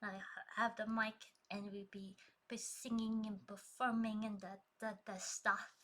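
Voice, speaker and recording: Woman, live stream chat, very bright tense tone, slow delivery, laughs a lot. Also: medium pitch, little pitch movement. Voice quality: trained, projecting singing voice. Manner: chatty, laughs often, reads chat aloud. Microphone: streaming desk mic.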